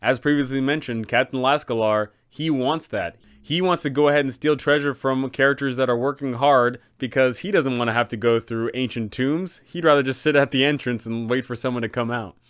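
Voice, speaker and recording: Male host for tabletop game guide: clear, resonant, medium pitch, dramatic and enthusiastic, close dry recording. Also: fairly even intonation, a little fast, hardly any pauses, dry recording. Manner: dramatic, emphatic storytelling delivery. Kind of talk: enthusiastic scripted explainer for game masters.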